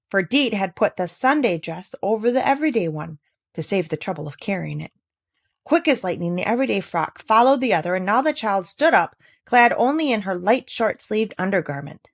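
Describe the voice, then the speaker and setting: A woman narrating a scripted children's story in an expressive, careful storytelling manner. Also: mid-range voice, dry recording, clean studio sound. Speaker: audiobook reader.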